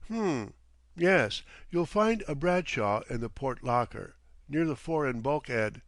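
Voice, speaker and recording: A man voicing a gruff, mocking sea captain in an audiobook, with a Cockney accent, dropped aitches and a needling, sardonic way of teasing. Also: mid-range voice, animated, slow pace, no echo. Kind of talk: audiobook character voice.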